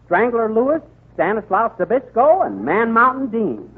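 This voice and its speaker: A man playing a blustering, boastful husband with a husky voice in an old radio comedy. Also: very high voice, moderate pace, full character voice. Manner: blustering, animated. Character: blustering, boastful husband, easily carried away. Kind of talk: 1930s radio comedy acting. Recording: tinny 1939 radio broadcast recording.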